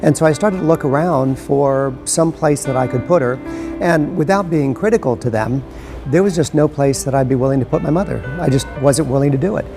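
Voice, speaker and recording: A middle-aged or older man giving reflective interview answers, repeating phrases for emphasis. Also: mid-range voice. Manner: emphatic repetition. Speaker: interview guest, entrepreneur.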